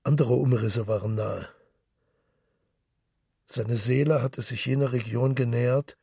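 A man narrating a literary radio drama as a brooding, melancholy storyteller, solemn and measured, with a husky, gravelly voice. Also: medium pitch, darkish tone, no echo, clean studio sound, distant mic, exaggerated voice.

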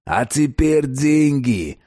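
A man's voice: medium pitch, expressive, slow, pitched above the performer's own voice. Man playing a snarling, vicious criminal enforcer. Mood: cold menace. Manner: snarling, threatening, barking short orders. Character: vicious, menacing male criminal enforcer called Satan.

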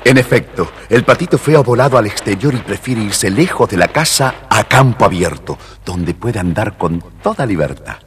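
Deep, expressive male storyteller. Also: moderate pace, hardly any pauses, a little hiss or hum. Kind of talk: expressive scripted children's storybook narration.

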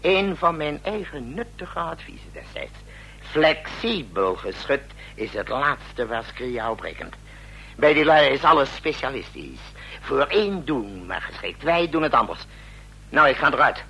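An actor playing a brisk, wry, commanding president in a radio drama, giving curt orders in a husky voice. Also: male voice, mid-range voice, very animated, sharp tone, exaggerated voice, rough recording. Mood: urgent, commanding. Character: commanding, brisk, wry president rousing his generals at night.

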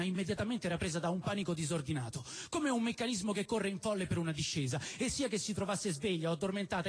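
A man reads a novel aloud on stage. His voice sits a little high for a man. He tells the story theatrically, leaning on key phrases and leaving deliberate pauses. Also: slightly rough. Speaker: storyteller reading literary prose at a live show. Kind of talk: expressive dramatic reading of a novel. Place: live stage at a celebration event in a cinema venue.

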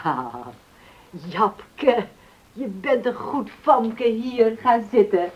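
An actress playing a firm, motherly older village woman in a Dutch radio play, husky and admonishing. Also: fairly high pitch, big pitch swings, extremely slow, highs cut off, exaggerated voice.

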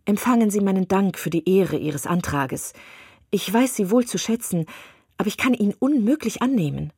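A woman's voice: medium pitch, animated, moderate pace, vocal fry, warm tone, breathy edge, no echo, clean studio sound, full character voice. A woman voicing a spirited young woman who firmly and precisely refuses a suitor, in an audiobook. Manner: firm, exasperated, precise. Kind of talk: audiobook reading of a classic novel.

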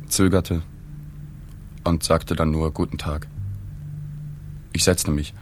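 A man's voice: low voice, slight background noise. An actor playing a reserved male journalist in a radio play, narrating and asking questions in a sober, understated way. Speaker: narrator and reporter figure.

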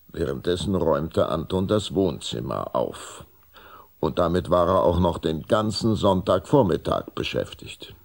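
A man narrates a children's radio play in a very low, husky voice with plenty of gravel. He tells it slowly and dramatically. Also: a little mellow. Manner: dramatic storytelling. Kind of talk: scripted children's story narration.

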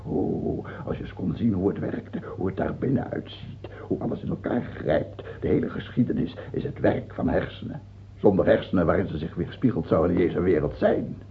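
Casting: ailing despot king, scornful and grandiose, wide swings in pitch, old lo-fi radio drama sound. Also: lively intonation, normal speed, vocal fry. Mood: fearful, brooding. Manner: volatile, swinging from murmured brooding to barked scorn. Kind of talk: theatrical radio drama acting. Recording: dull, lo-fi old radio recording.

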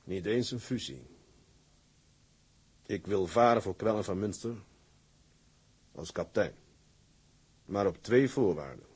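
A resolute captain of seagoing tugs in a Dutch radio drama. His voice sits fairly low with a rough, husky edge. He speaks proudly and forcefully, weighing his phrases like a hardened seaman. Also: lively intonation, measured pace, long pauses, heavily acted. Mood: determined, bitter. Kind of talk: Dutch radio play acting.

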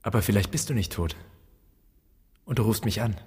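Casting: scornful, questioning son in a radio play, lowish husky voice, long reverb around it. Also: male voice, lively intonation, normal speed, a little mellow. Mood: resentful, questioning. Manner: probing, scornful. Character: restless resentful son interrogating his absent dead father. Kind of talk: literary radio drama. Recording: long reverberant hall sound.

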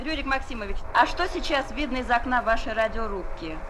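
A woman's voice: on the high side, a little fast. A woman asking interview questions for a children's magazine during a radio session. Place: radio studio. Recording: faint noise of a radio session.

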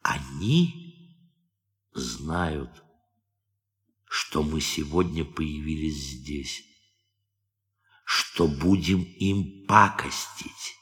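An actor in a radio drama playing a brooding, bitter astronaut with a poetic soul, speaking in a measured, declamatory way. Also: male voice, very deep voice, a bit bright, exaggerated voice. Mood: accusing. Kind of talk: theatrical radio drama acting. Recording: studio radio play with music behind.

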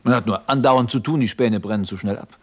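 A man's voice: lowish voice, brisk, lightly acted. A man playing a boisterous, self-satisfied partisan leader in a radio play, hearty, brusque and repeating himself for emphasis. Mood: gloating, teasing. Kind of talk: 1950s German radio drama acting.

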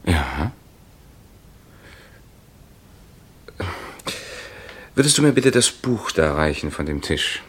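A tense suspicious husband. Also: male voice, a bass voice, very animated, moderate pace, husky, exaggerated voice. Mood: probing. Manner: agitated, brooding, rising to outbursts. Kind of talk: radio play acting.

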